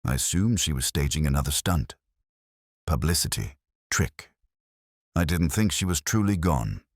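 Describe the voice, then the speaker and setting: Casting: man as bossy cigar-chewing producer in a detective story, gruff and blunt, emphatic swings. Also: rumbling low, expressive, normal speed, no echo, clean studio sound. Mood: gruff, exasperated. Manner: barking, blunt. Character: gruff, domineering theatre producer, male cigar-chewer.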